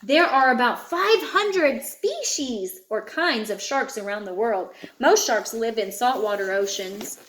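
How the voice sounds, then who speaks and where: A woman's voice: a little high, measured pace, few pauses, reverberant. A woman reading a children's picture book aloud, chatting to a child in asides as she goes. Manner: animated, chatting to a child listener. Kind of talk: read-aloud storytelling with asides.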